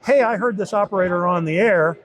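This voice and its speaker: A man's voice: high voice, brisk, rough recording. A man giving an informal, step-by-step demo and explanation of how something works. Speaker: beta test supervisor giving a product demo.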